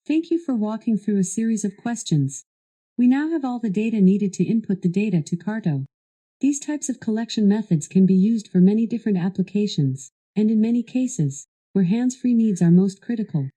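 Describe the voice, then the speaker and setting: A woman with a very soft, dark tone reads scripted instructions as a voice assistant. Her delivery is measured and formal, at an average pitch. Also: expressive. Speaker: voice assistant guiding a product demo.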